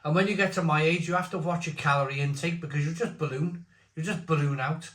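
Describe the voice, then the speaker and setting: A man's voice: fairly high pitch, fast, a bit bright. A man in his forties giving a casual, opinionated beer review, talking bluntly in rambling asides. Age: 40s. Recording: roomy echo.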